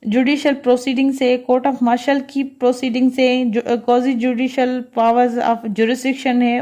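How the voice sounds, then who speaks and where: A woman lecturing in a halting, deliberate way, alternating between reading and explaining, mixing in English terms. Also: on the high side, darkish tone. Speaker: law lecturer.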